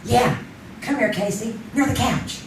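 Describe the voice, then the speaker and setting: An actress playing an intuitive, insistent sleuth's sidekick in an old-time radio style mystery. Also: female voice, on the high side, measured pace, close to an everyday voice. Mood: earnest, sure. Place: live theater stage. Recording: distant echoey stage recording, faint noise.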